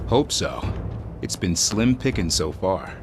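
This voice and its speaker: A wry, good-natured creature hero from a video game. The voice sits low but moves in a lively way, with an easygoing, dry delivery. Music plays clearly underneath. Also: male voice, slow, full character voice. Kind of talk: video game cutscene acting.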